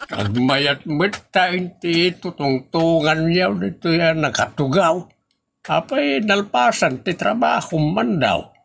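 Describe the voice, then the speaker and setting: Creaky, swooping, bossy Ilocano man played by an actor. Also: a little high, expressive, takes it slowly, a bit bright, a little hiss or hum, exaggerated voice. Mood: annoyed. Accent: Ilocano, northern Philippines. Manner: scolding, emphatic. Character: bossy, scolding male boss of idle workers. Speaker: actor in an Ilocano comedy audio drama. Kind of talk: comedy radio drama dialogue.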